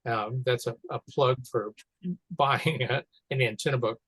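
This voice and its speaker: A man giving a halting, offhand, informal explanation to others on a group call. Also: mid-range voice, a bit bright, husky, no echo, poor audio. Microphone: teleconference call.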